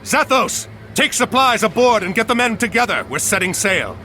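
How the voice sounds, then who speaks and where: An actor playing a proud, battle-weary admiral hero in a mythological video game, firm, dignified and commanding. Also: male voice, sits high, very animated, moderate pace, music in the background, low-quality recording. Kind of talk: video game cutscene acting.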